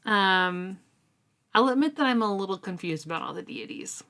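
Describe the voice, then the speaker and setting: A woman's voice: medium pitch, moderate pace. A woman co-hosting a book club podcast, chatting casually with lots of "um" and "like" as she recaps chapters. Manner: chatty, relaxed, often says um and like.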